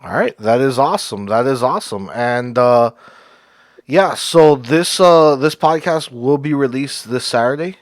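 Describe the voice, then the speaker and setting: A man's voice: mid-range voice, expressive, measured pace, dry recording, clean studio sound. A man hosting a podcast, talking casually and thanking his guest effusively, laughing often. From Cuban heritage. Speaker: podcast host.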